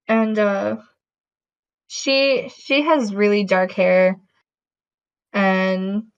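A woman's voice: medium pitch, fairly even intonation, very slow, smooth. A woman in casual conversation who rambles, with many fillers and restarts. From Latin American heritage. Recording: dull lo-fi audio.

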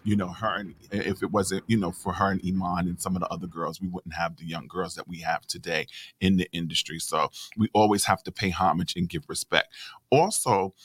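A man hosting a casual interview, chatty and encouraging, often affirming his guest with quick backchannels. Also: low voice, steady tone, breathy edge. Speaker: host.

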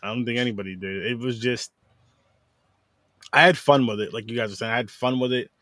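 A man's voice: medium pitch, steady tone, fairly quick, bright, forceful tone, no echo, clean studio sound. A man hosting a film podcast in a casual, chatty style.

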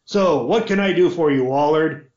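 A man voicing a formal, deferential male butler who speaks in a measured way. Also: a little high, a little mellow, close to an everyday voice. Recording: roomy, dull lo-fi audio.

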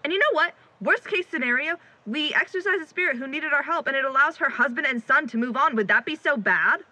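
A woman's voice: high voice, lively intonation, brisk, pushed and bright, dry recording, exaggerated voice, rough recording. A woman playing a firm, persuasive young exorcist in an audio drama, with an assertive, no-nonsense manner. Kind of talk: naturalistic audio drama acting.

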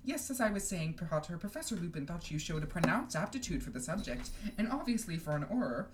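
A woman's voice: lowish voice, very animated, brisk, noisy background, voice pushed up. A woman voicing a stern, curt older schoolmistress with a clipped, exasperated, formal manner, as in a storybook. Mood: firm.

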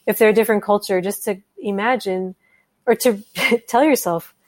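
A woman guest on a podcast interview, speaking in an earnest, thoughtful way with the occasional gentle laugh. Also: medium pitch, moderate pace. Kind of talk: conversational interview.

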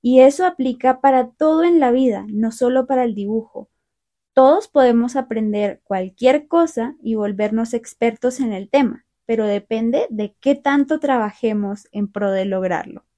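A young woman hosting a learner podcast, talking through a calm, clear, scripted monologue. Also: fairly high pitch, normal speed. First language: Spanish. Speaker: podcast host.

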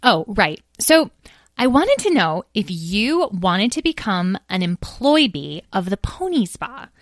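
A woman's voice: mid-range voice, very animated, slow pace, no room sound, voice pushed up. Young male dragon schemer woman performing, storybook. Awkward, eager, rambling and informal. Dry recording. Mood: persuasive, awkward.

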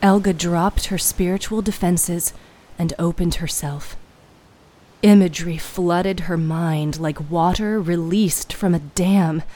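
A woman narrating a fiction podcast in a measured, suspenseful, dramatic storytelling style. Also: on the low side, steady tone, warm tone, slight background noise.

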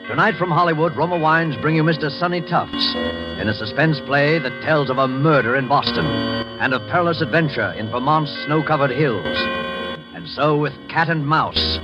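A man playing an ominous host of a thriller anthology in formal, measured 1940s radio narration. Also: a little high, lightly acted. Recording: muffled 1940s radio broadcast, loud music.

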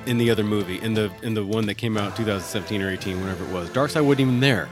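Casual male podcast host, lowish pitch, joking informal commentary, light music bed. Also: rapid-fire. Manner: jokey, chuckling, informal. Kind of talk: casual solo podcast chat with pop-culture commentary. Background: music playing under the voice.

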